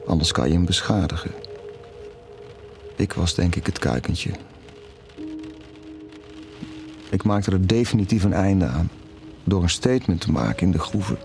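A conflicted middle-aged father in an audio drama. His voice sits low and he speaks in a confiding, hesitant, reflective way. Faint music plays behind him. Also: expressive, moderate pace, creaky, darkish tone. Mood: confessional, wistful. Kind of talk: intimate naturalistic audio drama dialogue.

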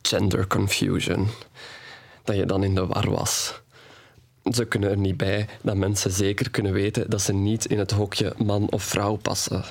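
Low-voiced young man confiding forbidden love. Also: little pitch movement, normal speed, gravelly. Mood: wry, reflective. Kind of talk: audio drama monologue, intimate first-person storytelling. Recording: music playing behind the voice.

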